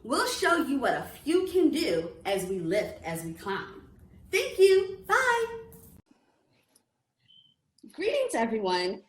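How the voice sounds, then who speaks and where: A woman presides as host and introduces her guest in an upbeat, welcoming way. Her voice sits fairly high and moves up and down a great deal. The sound carries the echo of a roomy space. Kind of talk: hosting an online reading series interview.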